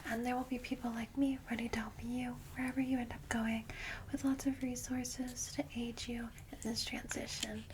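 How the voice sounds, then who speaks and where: A woman voicing a friendly, reassuring vampire guide in a soft, breathy ASMR whisper. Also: fairly high pitch, level tone, moderate pace, slight background noise, close to an everyday voice. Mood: caring, reassuring. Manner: gentle, reassuring, hesitant asides. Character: friendly female vampire guide, centuries-old, caring and reassuring. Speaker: ASMR roleplayer.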